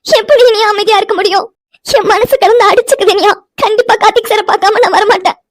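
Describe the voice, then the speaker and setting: A woman's voice: extremely high pitch, fast talker. A woman playing a distraught, lovesick young woman in an audio melodrama, crying and whining insistently. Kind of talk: melodramatic audio drama dialogue.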